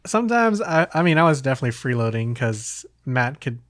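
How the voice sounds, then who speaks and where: A man hosting a podcast, talking casually about games in a hesitant, halting way. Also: mid-range voice, very animated, no echo. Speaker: podcast host.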